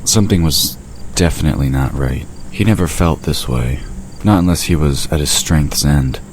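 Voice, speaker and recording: A man's voice: a bass voice, normal speed. A man reading scripted narration for an audio drama. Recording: noisy background of ambience effects and vehicle sound.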